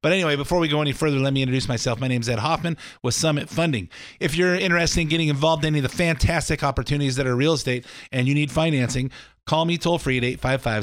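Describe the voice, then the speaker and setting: A man's voice: on the high side, fairly bright tone, no room sound, clean studio sound. A middle-aged man hosting a talk radio show with a slightly scratchy voice, rambling in a folksy way with fillers and restarts between ads for his mortgage business. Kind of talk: casual political talk radio monologue.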